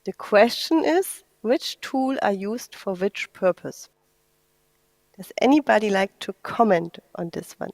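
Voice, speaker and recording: A woman acts as moderator of a panel discussion. She speaks slowly and haltingly, putting questions to the participants in a measured way. The recording is dry and close, with little room sound. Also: mid-range voice, animated, gravelly, a little mellow, no room sound.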